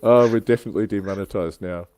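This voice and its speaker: A man with a fairly deep, mellow voice hosts a casual livestream chat. He talks informally, like someone swapping anecdotes and jokes with friends. The sound is dry, with very little room in it. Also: quick pace, clear, resonant tone, no room sound. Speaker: show host.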